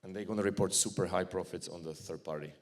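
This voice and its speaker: A man with a Czech accent giving a joking, blunt conference talk, with frequent restarts and a fairly low voice. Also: fairly even intonation, quick pace. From Czech Republic. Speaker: conference speaker. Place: conference hall.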